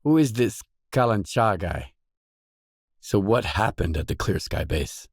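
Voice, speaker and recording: A low male voice for a weary, guarded mercenary in a video game. He talks in short, blunt, businesslike lines, matter-of-fact and gruff. The sound is close and dry, with no room around it. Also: expressive, a little slow, no echo. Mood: guarded, questioning.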